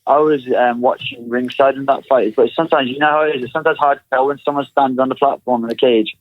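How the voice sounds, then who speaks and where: A man's voice: medium pitch, steady tone, quick pace, no room sound. A man who is a pro fighter, talking in a laid-back way with lots of fillers and frequent laughs. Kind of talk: casual chat. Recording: dull lo-fi audio.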